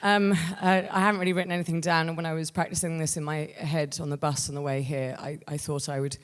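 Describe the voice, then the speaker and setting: A woman speaking live at an awards ceremony, halting, flustered and self-deprecating as she gives an informal thank-you speech. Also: deep voice, level tone, smooth, in a large hall. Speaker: writer-performer accepting an award.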